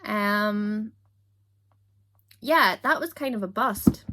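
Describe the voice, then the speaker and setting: A young Scottish woman with a softened accent, chatting casually to camera in a self-deprecating, giggly way. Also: medium pitch, extremely slow. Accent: Scottish, softened toward standard British. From Scotland. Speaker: YouTuber.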